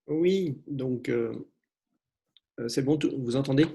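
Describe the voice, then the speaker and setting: Guy presenting a solar case in a webinar, average pitch, bit hesitant. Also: slow pace, creaky, warm tone. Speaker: photovoltaic professional, guest presenter. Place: remote online webinar.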